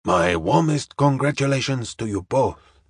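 A man's voice: medium pitch, expressive, unhurried, slightly rough, no echo, studio quality. A man playing a courteous, wise Chinese detective in an audiobook, speaking formal, stilted English with dropped articles. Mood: courteous, measured.

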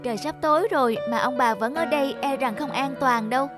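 A woman's voice: high voice, a little fast, loud music behind the voice, close to an everyday voice. An actress playing a kind, caring young girl in a cartoon, speaking politely and earnestly. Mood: concerned, kind. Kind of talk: animated moral tale voice acting.

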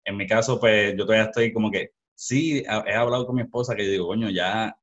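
A man's voice: lowish voice, fast talker, fairly bright tone. A young Puerto Rican man hosting a casual video-call interview, rambling with many restarts, talking into a headset mic at home. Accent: Puerto Rican Spanish. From Puerto Rico. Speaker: podcast host. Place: small room at home. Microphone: headset with studio mic. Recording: dull lo-fi sound.

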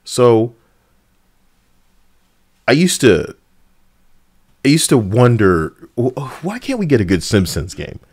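A man's voice: medium pitch, sing-song, slow pace, pauses often, a little mellow, husky, no echo, studio quality. A man giving casual, blunt and sarcastic opinion commentary as a gaming video host, with an edgy streak. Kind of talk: casual opinion commentary over game footage.

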